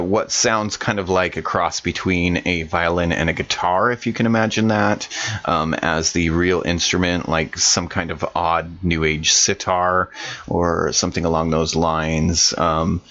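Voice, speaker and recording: A man's voice: deep voice, steady tone, a little slow, fairly bright tone. A man playing a tabletop game who rambles through his character's actions with lots of ums and uhs, over a dull, muffled mic. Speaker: player.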